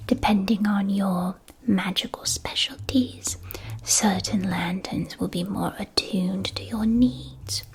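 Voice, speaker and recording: A woman playing a gentle, attentive magic-shop keeper in a scripted ASMR roleplay, whispering softly with careful articulation. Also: mid-range voice, breathy edge, exaggerated voice, poor audio. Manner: soft, slow, careful articulation. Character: gentle, attentive female shopkeeper of magical school supplies.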